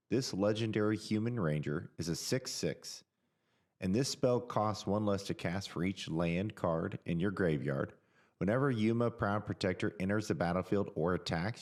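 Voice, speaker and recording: A man's voice: low voice, darkish tone. A man giving scripted voice-over narration, evenly reading out card text and deck details.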